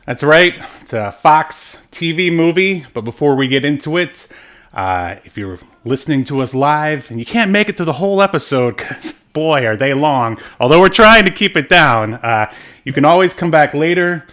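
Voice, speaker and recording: A man's voice: on the high side, expressive, normal speed, fairly bright tone. A man co-hosting a podcast in casual, jokey banter, often chuckling as he talks. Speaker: podcast co-host.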